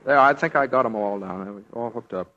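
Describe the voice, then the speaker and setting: A man's voice: fairly low pitch, fairly quick, dull audio, background noise, natural voice. A man with an American accent playing a devoted young lawyer husband in a 1940s radio drama, earnest and hesitant, stammering when flustered. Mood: playful. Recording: vintage 1940s radio broadcast, orchestral music.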